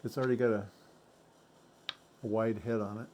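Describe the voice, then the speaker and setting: A man talking through a do-it-yourself boat project as he works, thinking aloud in halting, self-correcting bits. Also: lowish voice, level tone, a little mellow, a little hiss or hum. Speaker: boat owner doing a DIY project. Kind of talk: casual DIY narration while working.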